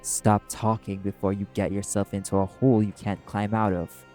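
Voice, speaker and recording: A man playing a brash, guarded wrath demon in an audio roleplay, speaking in a curt, gruff, cool-headed way. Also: lowish voice, little pitch movement, moderate pace, natural voice. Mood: stern, irritated. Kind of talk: dramatic audio roleplay acting. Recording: music under the voice. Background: music.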